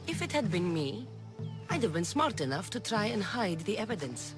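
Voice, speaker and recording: An actress playing a confident, dryly challenging young ancient Greek mercenary in a video game. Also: female voice, medium pitch, big pitch swings, normal speed, over music, close to an everyday voice. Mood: assured. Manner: cool, dry, challenging.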